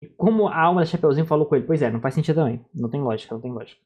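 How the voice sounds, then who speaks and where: A man's voice: a little high, rapid-fire, slightly rough, lo-fi sound. A man with a Brazilian accent reacting casually, in an informal, slangy way, often repeating himself. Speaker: reaction streamer. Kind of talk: casual live reaction chat.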